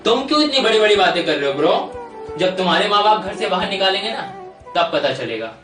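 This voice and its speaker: A man's voice: high voice, very animated, brisk, roomy sound, recorded from a distance. A young man acting a brash, impatient youth in casual Hindi drama dialogue. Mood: sulky. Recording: faint music behind. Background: music.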